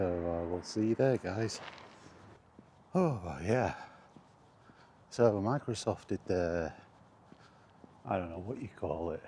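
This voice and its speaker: Male solo podcaster, deep-pitched and unhurried, hesitant casual chat on a walk, light outdoor background noise. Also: mellow. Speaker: podcast host chatting solo. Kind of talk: casual rambling chat recorded while out walking. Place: outdoors, walking to and in a park.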